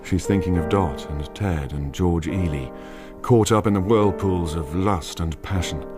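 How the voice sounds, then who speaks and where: A man playing a grave storyteller, narrating an audio drama in a measured, literary manner. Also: low voice. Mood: solemn. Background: piano music.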